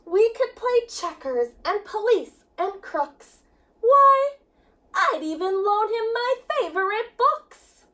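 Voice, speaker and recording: A woman reading a picture book to children in an animated, emphatic, sing-song storytelling manner, drawing out words. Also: very high voice, takes it slowly.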